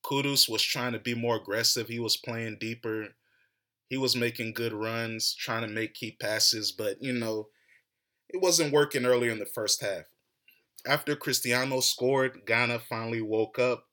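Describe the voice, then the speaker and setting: A young man with an American accent delivers a heated solo soccer rant as a podcast host. His voice is bright and forceful, and his tone turns mocking. He speaks at a middling pitch. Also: steady tone, unhurried. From United States. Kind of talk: animated solo soccer commentary.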